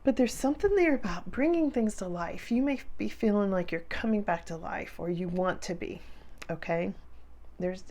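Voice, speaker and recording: A slightly hoarse woman giving a casual, chatty tarot reading, speaking directly to her viewers and repeating phrases for emphasis. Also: on the low side, a little mellow. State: slightly hoarse after a cough. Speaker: tarot reader and channel host.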